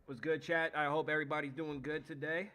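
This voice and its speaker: A man's voice: fairly high pitch, little pitch movement, a little fast, pushed and bright. A man with a stuffy nose from allergies, chatting loosely and playfully with his livestream viewers as host. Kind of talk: casual chat with stream viewers.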